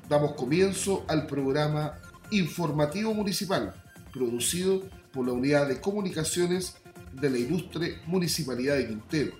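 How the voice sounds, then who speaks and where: Chilean male newsreader, higher-pitched, over music. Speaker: radio news presenter. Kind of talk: scripted radio news bulletin. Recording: roomy echo, music bed behind.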